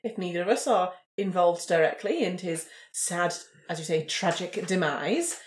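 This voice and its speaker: A woman's voice: fairly low pitch, normal speed, a little hiss or hum, close to an everyday voice. A woman voicing a genteel, sly lady investigator in an improvised role-play, polite, arch and mock-innocent. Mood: politely scheming. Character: genteel, sly female amateur investigator and newspaper writer. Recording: roomy, echoing room sound.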